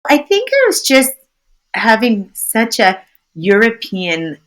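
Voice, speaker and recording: A woman from Canada with a friendly, sing-songy voice, a guest telling lively stories in a casual interview and laughing often. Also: fairly high pitch, takes it slowly. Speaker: tour business owner, guest.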